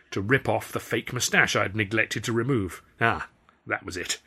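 A man reading his own comic novel aloud as a first-person storyteller, in animated audiobook narration. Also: medium pitch, slightly rough.

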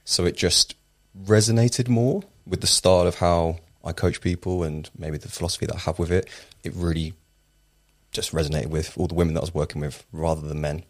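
A man from Tenerife working as a personal trainer, answering interview questions in a relaxed, rambling way. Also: deep voice, fairly quick. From Tenerife, Spain. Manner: relaxed, rambling, with fillers and restarts.

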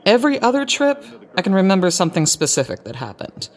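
A woman acting as a grown daughter in an audio drama, young and earnest. Her intonation is lively and conversational, caring with a thread of exasperation. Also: mid-range voice, quick pace, lightly acted. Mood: pensive, earnest. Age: young adult. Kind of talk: naturalistic audio drama dialogue.

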